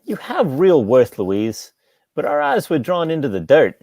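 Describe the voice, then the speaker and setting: A man's voice: a little high, wildly swooping pitch, a little slow, vocal fry, darkish tone, no echo, studio quality, full character voice. A man playing a polite, hesitant, lovelorn lodger in a table read of a stage comedy. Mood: wistful.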